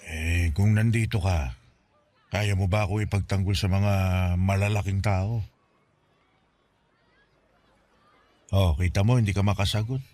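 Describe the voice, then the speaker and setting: Man acting a deep-voiced, weary ailing father. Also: fairly even intonation, normal speed, no room sound, natural voice. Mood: weary defiance. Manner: gentle, reassuring, fatherly. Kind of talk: Tagalog radio drama acting.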